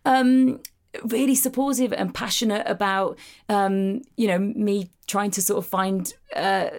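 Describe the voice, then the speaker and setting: A woman's voice: mid-range voice, steady tone, measured pace. A woman, co-hosting a podcast in casual, self-deprecating chat with many restarts. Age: 40s. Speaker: podcast co-host. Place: a home, indoors.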